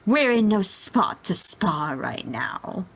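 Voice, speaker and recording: A woman's voice: medium pitch, very animated, takes it slowly, breathy edge, exaggerated voice. Woman playing a sly, smug little alien tyrant. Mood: smug, coaxing. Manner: condescending, teasing.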